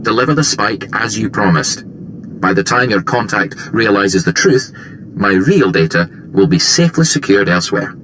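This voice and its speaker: An actor playing an earnest, idealistic scientist in a video game, gentle and pleading in manner. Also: male voice, fairly low pitch, animated, normal speed, noisy background, close to an everyday voice. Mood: grateful, relieved. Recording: game music behind the voice. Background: music.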